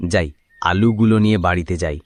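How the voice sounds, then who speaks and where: A man's voice: deep voice, brisk, no echo, close to an everyday voice. A man playing a poor, hopeful, devout village farmer in a cartoon, exclaiming in an earnest, folksy way. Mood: pleased. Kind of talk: Bengali cartoon voice acting.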